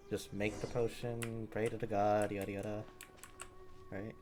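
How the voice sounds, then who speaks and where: A man's voice: lowish voice, flat delivery, a little slow, noisy background. A man giving casual commentary while livestreaming a video game. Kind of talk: casual play-along commentary. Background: game music, keyboard sounds.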